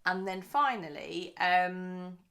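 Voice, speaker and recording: A woman talks through her monthly budget in a loose, rambling way, full of ums and asides. Her voice sits a little low and has a gravelly, croaky edge, since she is recording early in the morning. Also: takes it slowly, fairly bright tone. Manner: chatty, rambling, many fillers. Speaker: budgeting channel host. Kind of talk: casual chatty monthly budget vlog.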